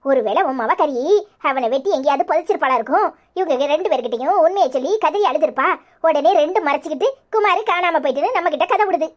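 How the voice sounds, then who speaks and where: A woman playing a sharp-tongued, suspicious village wife in a melodrama, scolding and taunting in a southern Tamil Nadu accent. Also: sits high, lively intonation, quick pace. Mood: suspicious, bossy. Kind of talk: melodramatic village serial acting.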